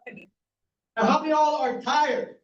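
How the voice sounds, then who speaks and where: A man, a physician running for office, giving an energetic campaign speech and polling the room with rhetorical questions. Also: very high-pitched, measured pace, slight background noise. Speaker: political candidate, physician. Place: community meeting room. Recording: echoey, distant and dull room recording.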